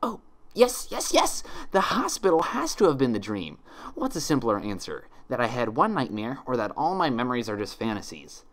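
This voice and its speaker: A man voicing an anxious, excitable, bookish young female pony scholar in a story reading. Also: medium pitch, normal speed. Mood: elated. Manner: agitated, questioning herself.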